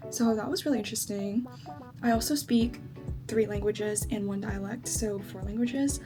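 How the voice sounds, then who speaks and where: A woman's voice: mid-range voice, steady tone, moderate pace. A woman in her twenties who grew up in China speaking Chinese first, chatting casually through a makeup routine with lots of likes and ums. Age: 20s. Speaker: beauty vlogger. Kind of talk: casual makeup vlog chat. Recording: background music under voice.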